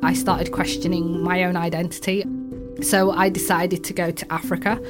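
A woman's voice: lowish voice, little pitch movement, moderate pace, over loud music. A young woman with a Yorkshire accent, telling her personal story. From Yorkshire, England.

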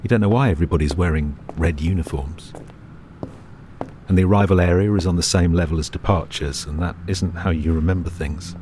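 A British man reads a second-person audio drama as narrator, in a measured, understated and uneasy storytelling manner. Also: deep voice, background noise. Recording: music bed behind the voice. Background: music.

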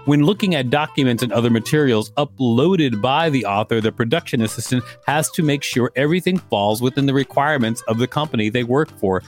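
A man's voice: mid-range voice, brisk, few pauses, faint music behind. A man co-hosting a podcast, reading an article aloud with dry, joking asides. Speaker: podcast co-host. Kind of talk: reading an encyclopedia article aloud with casual banter.

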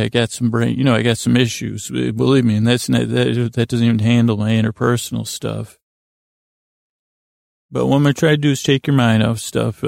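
Very gravelly man rambling softly through bedtime monologue. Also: mid-range voice, dry recording, clean studio sound. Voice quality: gravelly, creaky. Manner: meandering, halting, many false starts and digressions. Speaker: podcast host. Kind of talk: rambling, whimsical bedtime monologue to help listeners fall asleep.

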